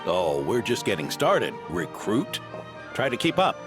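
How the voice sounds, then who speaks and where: An actor playing a hearty, grandfatherly old knight captain in a video game, with a gravelly voice. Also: fairly low pitch, wildly swooping pitch, unhurried, over music. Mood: jovial challenge. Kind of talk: dramatic game voice acting.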